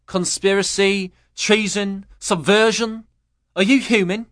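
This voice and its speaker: A man reading an audiobook, voicing a stiff male agent controlled by a machine, speaking loudly and haltingly with broken, robotic grammar. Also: high-pitched, fairly even intonation, no room sound, clean studio sound, voice pushed up. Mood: stilted. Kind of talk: audiobook character voice.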